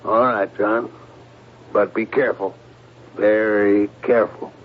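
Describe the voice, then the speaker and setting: An actor playing the guarded chief of a secret project in a 1970s radio drama, terse, probing and authoritative. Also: male voice, on the low side, steady tone, unhurried, close to an everyday voice. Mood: grave, commanding. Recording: tinny, noisy old radio broadcast.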